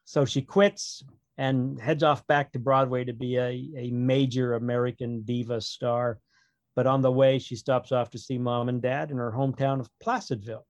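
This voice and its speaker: A man talks casually as an author being interviewed. His manner is wry and rambling, like someone telling stories off the cuff. The voice sits at a middling pitch, recorded dry with very little room sound. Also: fairly even intonation, moderate pace, no echo. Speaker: author, podcast guest. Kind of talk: casual interview chat about own writing.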